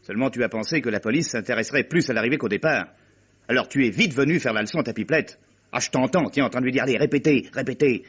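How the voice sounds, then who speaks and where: A man's voice: mid-range voice, fast talker, fairly bright tone, breathy edge. An actor playing a self-assured, sardonic man under suspicion in a radio mystery, articulate and precise. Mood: mocking. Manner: articulate, precise, readily sarcastic. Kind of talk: French radio mystery drama acting. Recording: dull, muffled radio drama recording.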